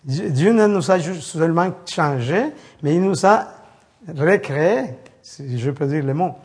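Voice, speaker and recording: Man, from El Salvador, preacher, halting informal sermon, somewhat high voice. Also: animated, a little mellow, slightly rough. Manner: halting, many restarts, storytelling.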